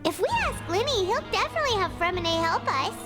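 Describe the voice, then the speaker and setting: Casting: tiny excitable girl sidekick for a game, extremely high squeaky voice, very animated, loud music underneath. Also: fast. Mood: pondering. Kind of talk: video game voice acting.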